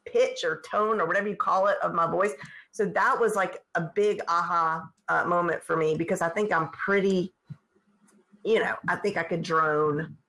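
A woman's voice: fairly low pitch, steady tone, moderate pace, bright, forceful tone, rough recording. A woman guest on a podcast who creates online courses, talking in a chatty way with frequent ums and uhs. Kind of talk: podcast interview.

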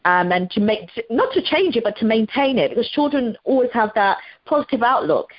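A woman's voice: on the high side, big pitch swings, a little fast, dry recording, lo-fi sound. A middle-aged woman talking about her path into teaching in a casual interview, enthusiastic, often restarting with fillers. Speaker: guest, former primary teacher.

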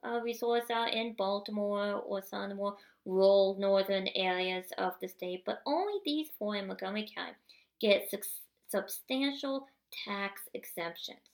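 A woman's voice: mid-range voice, measured pace. A woman hosting a podcast, telling a personal story in a conversational, self-correcting way that builds to indignant emphasis. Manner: conversational, with repeated restarts, rising to indignant emphasis. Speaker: podcast host. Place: at home, seated in a wheelchair.

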